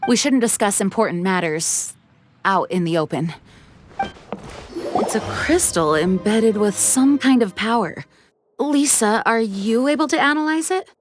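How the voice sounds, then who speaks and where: A woman playing a dutiful knight commander in a game cutscene, measured and formal, sometimes sighing. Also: on the high side, animated, background noise. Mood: earnest.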